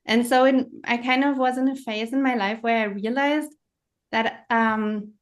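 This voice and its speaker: A woman's voice: on the high side, steady tone, unhurried. Reflective woman on a call. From Germany. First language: German. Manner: reflective, searching for words. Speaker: podcast guest. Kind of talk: casual conversation.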